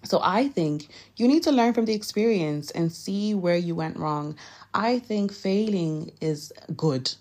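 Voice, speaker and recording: A woman's voice: medium pitch, animated, measured pace, a little mellow. A young woman from Nigeria with a Nigerian accent, talking casually and earnestly to listeners about motivation, direct and encouraging with the odd self-correction. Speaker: podcast host.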